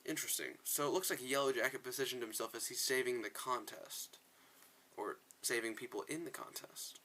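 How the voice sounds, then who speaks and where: Bright, forceful male gamer commentary. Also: medium pitch, steady tone, a little fast, slight background noise. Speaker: gaming video creator, player. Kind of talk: casual game commentary with dialogue read aloud.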